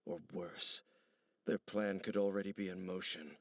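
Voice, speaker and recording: An actor playing a solemn, brooding ancient warrior in a video game, speaking gravely and formally, embittered by old betrayal. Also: male voice, low-pitched, steady tone. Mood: grave, wary. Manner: grave, formal, measured speech. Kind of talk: video game character dialogue. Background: background music.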